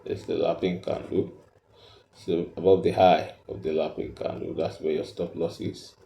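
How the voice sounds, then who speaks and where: A man giving a step-by-step tutorial, explaining haltingly and repeating himself as he points things out. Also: deep voice, flat delivery, vocal fry, warm tone, roomy sound, slight background noise. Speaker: trading tutor.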